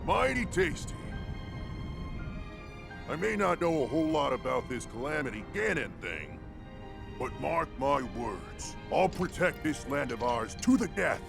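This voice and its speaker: A big, jovial mountain creature in a video game, a warrior with a creaky, gravelly edge. He talks in a folksy, teasing way with hugely swinging pitch, taking his time over prominent music. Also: sing-song, a little slow, full character voice. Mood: hearty, resolute. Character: big, boisterous, good-natured mountain-dwelling warrior creature. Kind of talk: video game cutscene acting. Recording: music behind the voice.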